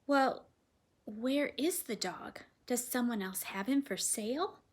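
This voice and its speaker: A woman voicing a gentle, patient mother in a read-aloud storybook. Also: a little high, slow, pitched above the performer's own voice. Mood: motherly. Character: warm patient middle-aged mother.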